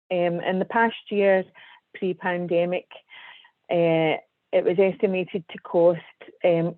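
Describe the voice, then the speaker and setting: A woman with a Scottish accent, presenting a webinar as an engineer, measured and full of ums. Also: fairly low pitch, no echo. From Scotland. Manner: measured, hesitant with frequent ums. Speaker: guest speaker, civil engineer. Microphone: video call. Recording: tinny dry online call audio.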